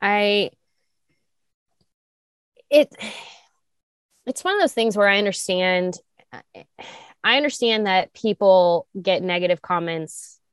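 A young woman co-hosting a talk show, speaking candidly with vocal fry, lots of fillers, and sharp jumps up in pitch when she makes a point. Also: slow, long pauses, dry recording, studio quality. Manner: animated, restarts and fillers, rises high when emphatic. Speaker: podcast co-host. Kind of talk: candid conversational chat.

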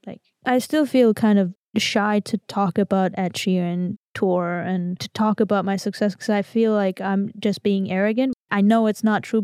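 A young woman from Armenia speaks English with an Armenian accent, her voice mellow and warm rather than bright. She chats in a relaxed, rambling way, leaning on fillers and laughing often. The sound is close and dry, with no room around it. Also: medium pitch, clear, resonant tone, no echo. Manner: chatty, lots of "like" and "you know", laughs easily. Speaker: guest singer-songwriter. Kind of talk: casual interview chat.

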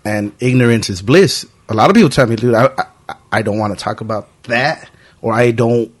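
A man in his early thirties hosts a loose, casual podcast and talks with a friend. He swears freely and keeps bringing his stories to life by acting out what other people said. His voice sits at an ordinary pitch for a man. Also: brisk. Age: 30s. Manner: animated storytelling, acting out quoted friends. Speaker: podcast host.

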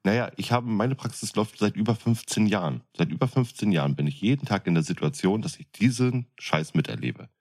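A man's voice: lowish voice, expressive, a little fast, few pauses, darkish tone. A man speaking colloquial speech in a blunt, coarse, emphatic way, arguing his point in a casual podcast chat. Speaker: podcast co-host, experienced window fitter. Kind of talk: casual chat, opinionated argument.

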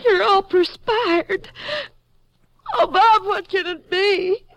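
A woman's voice: very high-pitched, slow, no room sound, exaggerated voice. A woman playing a sick, fearful rancher's wife and mother in a plaintive 1950s radio drama style. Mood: weak, queasy. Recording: noisy old radio recording.

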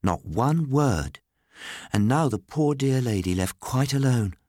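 Man putting on a high-voiced, folksy gossiping woman. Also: animated, measured pace, darkish tone, no echo, clean studio sound. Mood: indignant gossip. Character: gossiping, indignant woman of the household, pious and folksy.

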